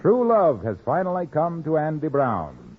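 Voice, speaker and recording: A man's voice: on the high side, huge pitch swings, a little slow, over loud music. A man working as a 1950s radio announcer, with polished, emphatic sales delivery. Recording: tinny old radio recording.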